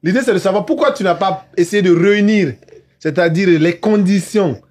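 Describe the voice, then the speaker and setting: A man from Côte d'Ivoire with a slightly high voice hosts a podcast conversation. He probes with follow-up questions and keeps up frequent short agreements. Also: moderate pace, darkish tone. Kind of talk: podcast interview.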